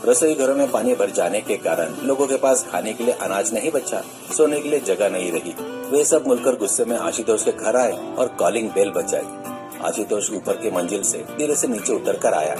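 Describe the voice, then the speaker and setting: A man's voice: lowish voice, expressive, normal speed. A man reading a scripted story as narrator. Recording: music bed under the voice. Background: music.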